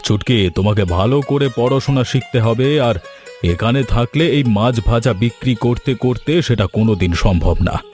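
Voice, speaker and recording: A man playing a kindly, protective gentleman in a cartoon drama, speaking in an earnest, fatherly way. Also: mid-range voice, lively intonation, moderate pace, faint music behind, lightly acted. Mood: earnest, reassuring. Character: kindly, protective middle-class gentleman who adopts a village girl. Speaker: cartoon character voice.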